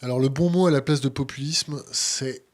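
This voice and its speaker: Informal male interviewer, mid-pitched. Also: moderate pace. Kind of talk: casual interview, reading out questions.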